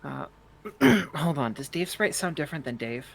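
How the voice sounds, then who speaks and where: A man's voice: on the high side, lively intonation, moderate pace, gravelly. A man chatting casually with a reading group as they sort out roles. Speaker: participant in group fan fiction reading. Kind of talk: casual chat.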